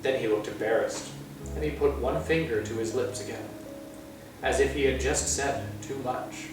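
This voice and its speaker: A man reading a children's story aloud as narrator, with measured, expressive phrasing. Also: medium pitch. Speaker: storytime reader. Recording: echoey room, far from mic, music.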